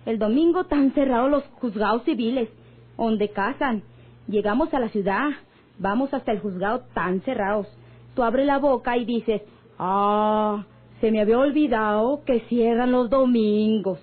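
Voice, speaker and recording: An actress playing a distrustful, scolding ranch woman with a rural Mexican accent and a husky voice in a radio drama. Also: a little high, unhurried. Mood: scolding, skeptical. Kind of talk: old-time radio drama acting. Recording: muffled old radio recording.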